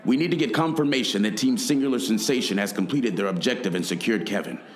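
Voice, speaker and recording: Male actor as cautious, knowing radio play operative; lowish voice, earnest, echoing room. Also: quick pace, natural voice. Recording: roomy, echoing sound.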